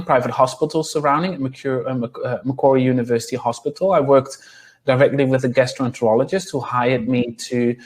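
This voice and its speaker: A man's voice: mid-range voice, a little mellow. A man in his thirties from the Netherlands with a Dutch accent, speaking hesitantly with many ums as an interview guest on a video call from a small room. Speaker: guest nutritionist.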